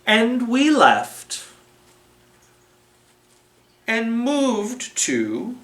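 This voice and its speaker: A children's library storytime presenter reading a story aloud in a deliberate, expressive way, recorded in a roomy space at some distance from the microphone. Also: mid-range voice. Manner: slow, deliberate, expressive read-aloud delivery. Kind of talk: animated storytelling with felt board.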